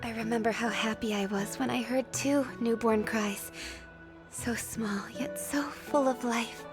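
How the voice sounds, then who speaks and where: An actress playing an earnest, wistful young princess in a video game, speaking in a reflective, heartfelt way. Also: female voice, fairly high pitch, fairly even intonation, measured pace, music in the background, lightly acted. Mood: wistful, solemn. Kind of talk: video game cutscene acting.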